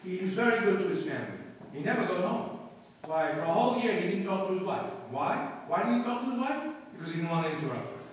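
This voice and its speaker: A man playing a brash, cynical shyster lawyer with quick wisecracking patter in a live stage recreation of a 1930s radio comedy. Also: high voice, big pitch swings, a little hiss or hum. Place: community hall stage with live audience. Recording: echoey hall from far off.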